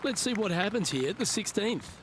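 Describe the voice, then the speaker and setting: A genial, composed golf announcer in a video game, with a fairly high voice. He calls play conversationally and with understatement, over clear crowd and outdoor noise. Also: expressive, poor audio. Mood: engaged, conversational. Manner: conversational, tag questions, measured understatement. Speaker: lead golf commentator. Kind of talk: TV golf broadcast commentary.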